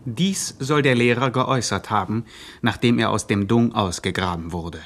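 An actor playing a grandiose narrator, telling a gangster epic in pompous, mock-solemn radio style. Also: male voice, lowish voice, moderate pace. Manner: pompous, theatrical, ornate.

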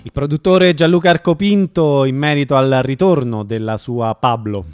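A man's voice: mid-range voice, normal speed, darkish tone, clear, resonant tone, faint music behind. A man hosting a radio interview in an informal, friendly, conversational way. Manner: informal, friendly, frequent backchannel agreement.

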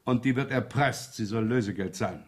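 A man acts a middle-aged police inspector in a 1970s German radio crime play. His voice sits at an ordinary male pitch. He probes with dry sarcasm and a pretence of being scatterbrained. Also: a little fast, husky, natural voice. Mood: brisk, wry. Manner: feigned absent-mindedness, dry sarcasm, probing.

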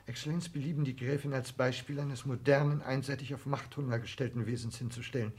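Schauspieler als belegt klingender, unterwürfiger Privatsekretär. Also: male voice, medium pitch, steady tone, fast talker, darkish tone, slightly rough, close to an everyday voice. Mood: deferential, uneasy. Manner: formal, subservient, careful wording. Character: deferential male private secretary, scrupulous and earnest. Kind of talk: 1960s German radio drama acting.

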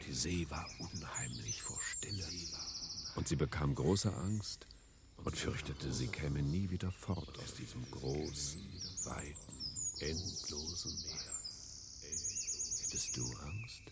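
An actor playing an insistent, seductive sea captain in a radio play, with theatrical, coaxing delivery that can turn menacing. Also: male voice, extremely low pitch, slow, creaky, very noisy, exaggerated voice. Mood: storytelling. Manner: theatrical, coaxing, abrupt shifts between whispers and shouts. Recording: music behind the voice.

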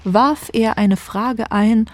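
A woman's voice: fairly high pitch, fairly even intonation, slow. A woman reading scripted literary narration as the narrator of a radio drama.

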